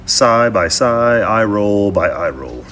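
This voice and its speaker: A man with a low voice reads out a scripted relationship advice monologue. He slows right down for effect and lingers on key phrases. A faint ambient hum sits behind him. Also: expressive, fairly bright tone. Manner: slow, deliberate, dramatic pauses.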